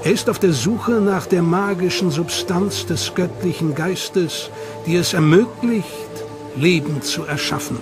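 A man giving measured, scripted documentary narration. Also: high-pitched. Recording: voice-over with loud music behind.

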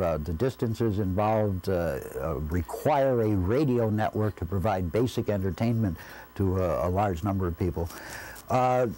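Mellow, low-voiced older North American man. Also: low-quality recording. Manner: measured, deliberate, formal phrasing. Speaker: interviewee, former radio station staffer. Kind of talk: reflective interview recollection. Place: quiet indoor room. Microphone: lapel.

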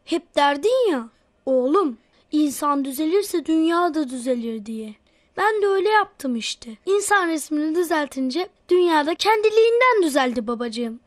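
A woman's voice: high-pitched, lively intonation, moderate pace, no room sound. A woman voicing an eager, wheedling little boy in a children's cartoon, sweet to his elders. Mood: proud, eager.

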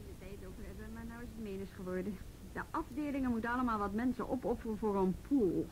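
A woman's voice: mid-range voice, quick pace, darkish tone, lightly acted. A woman playing a wry, overworked policewoman in a radio drama, dry and grumbling with colleagues. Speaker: actor in a radio play. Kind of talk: Dutch radio drama acting, naturalistic dialogue. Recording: faintly noisy old radio play recording.

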